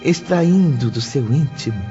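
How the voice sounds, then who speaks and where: Um homem narrando um livro de forma dramática, em tom solene e formal. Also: male voice, on the high side, animated, a little slow, warm tone. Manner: solemn, formal. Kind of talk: scripted dramatic book narration. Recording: slightly dull audio with music behind. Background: music.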